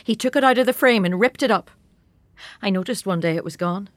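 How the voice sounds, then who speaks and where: A woman's voice: mid-range voice, fairly quick, lightly acted. An actress playing a grieving, stubborn middle-aged mother in a naturalistic radio drama, guarded and cutting. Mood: bitter, defiant.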